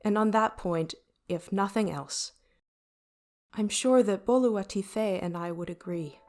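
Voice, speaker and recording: A woman playing a calm, earnest starship AI in an audio drama, with measured, formal and deliberate phrasing. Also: medium pitch, natural voice. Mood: gently advising. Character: calm, earnest female starship AI caring for passengers.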